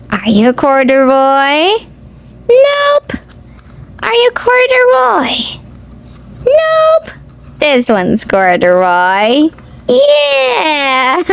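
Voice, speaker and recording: A woman reading a picture book aloud to young children in a sing-song, exclamatory way, speaking directly to them. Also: sits high. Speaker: children's read-aloud host.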